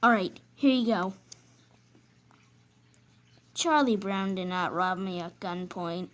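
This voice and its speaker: A woman's voice: mid-range voice, slow pace, pitched below the performer's own voice, natural voice. A woman voicing an impatient male engine driver who talks bluntly and irritably. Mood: irritable.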